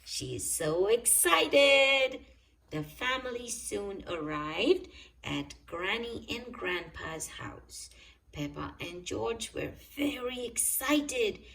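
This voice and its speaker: A woman's voice: fairly high pitch, lively intonation, slow, vocal fry, fairly bright tone, distant mic, low-quality recording. A woman reading a children's story aloud expressively, speaking directly to young listeners. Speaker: storybook reader.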